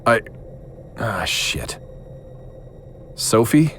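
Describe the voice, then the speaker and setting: A man's voice: fairly low pitch, sing-song, very slow, creaky, a little hiss or hum, full character voice. An actor playing a bewildered, weary male investigator in a horror audio drama, uncertain and conciliatory. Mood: shaken, weary.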